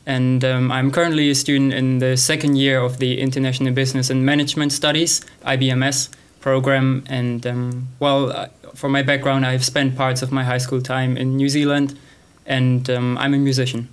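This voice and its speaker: A man's voice: mid-range voice, moderate pace, clear, resonant tone. A young man from Germany, a student, introducing himself plainly and a little hesitantly. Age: young adult. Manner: plain, even, slightly hesitant.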